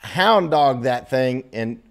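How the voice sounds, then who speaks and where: A man's voice: medium pitch, animated, takes it slowly. An American man hosts a casual remote interview in a mid-range voice. He talks loosely and chattily and laughs easily between points. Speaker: host.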